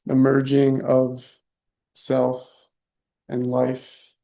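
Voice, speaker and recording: Very slow, mellow man guiding meditation. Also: mid-range voice, completely flat delivery, vocal fry. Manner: soft-spoken, unhurried, long pauses between short phrases. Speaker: meditation teacher. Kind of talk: guided meditation.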